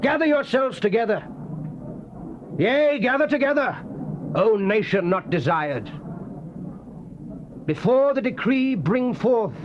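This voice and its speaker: A fiery prophet of doom in a dramatized scripture recording. The voice is high and swings widely in pitch, declaiming solemnly and theatrically. It comes from a muffled old cassette with clear noise. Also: male voice. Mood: solemn, urgent. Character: fiery male prophet proclaiming God's wrath and doom. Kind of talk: dramatized scripture reading, archaic King James English.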